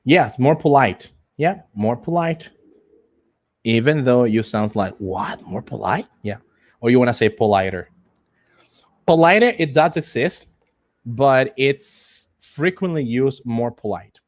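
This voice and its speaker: A man teaches English to call center trainees in a live online class. He repeats his phrases and leans slowly and deliberately on the example sentences. His delivery is that of a teacher prompting learners to respond. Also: medium pitch, lively intonation.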